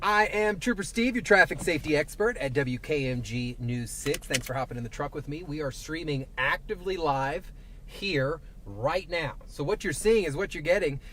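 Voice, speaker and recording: A man's voice: on the high side, lively intonation, moderate pace, sharp tone. A man with a Southern twang, a traffic safety reporter chatting casually through a live stream from inside his truck, rambling with lots of fillers. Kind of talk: live-streamed casual commentary while driving. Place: inside a parked and moving truck. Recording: faint vehicle noise behind.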